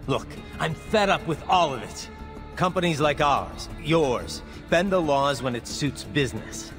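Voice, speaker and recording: A man's voice: a little high, lively intonation, a little slow, music in the background. A man voicing an indignant, righteous cyborg soldier in a video game. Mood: urgent pleading.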